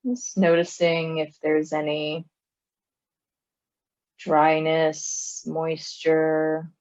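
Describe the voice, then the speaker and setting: A woman leading a guided body scan meditation in a calm, soothing manner, leaving long pauses between phrases. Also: deep voice, muffled recording. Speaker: meditation teacher.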